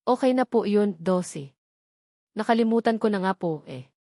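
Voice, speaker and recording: Woman reading modest young man, soft-spoken, respectful, calm, mid-pitched, dry close sound. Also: normal speed, no echo. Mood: gentle. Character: polite calm young male security guard.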